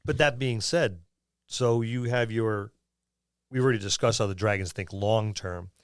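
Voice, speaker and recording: A man co-hosting a podcast, chatting casually and haltingly about tabletop gaming, thinking aloud as he goes. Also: fairly low pitch, clean tone, dry recording, studio quality. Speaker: podcast co-host.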